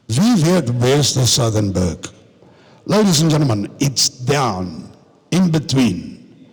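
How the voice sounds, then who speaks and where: A South African man working as a livestock auctioneer, describing cattle lots and then rattling off bids in a rapid chant. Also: fairly high pitch, breathy edge, in a large hall, rough recording. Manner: rattles off bid numbers in a fast chant, switching between English and Afrikaans.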